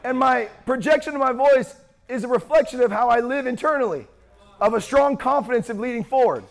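A young man preaching a sermon with a worn-out, strained voice and a loud, projecting manner. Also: extremely high pitch, a little fast, vocal fry. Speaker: pastor.

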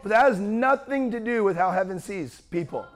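A young man preaching a sermon with a worn-out, strained voice and a loud, projecting manner. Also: high-pitched, animated, moderate pace. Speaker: pastor.

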